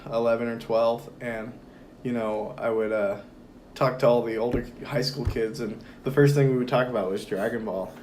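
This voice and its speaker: Hoarse young guy, rambling guest on a casual chat show, some light hiss in the background. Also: mid-range voice, moderate pace. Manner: relaxed and rambling, many fillers. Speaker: podcast guest. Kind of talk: casual conversation.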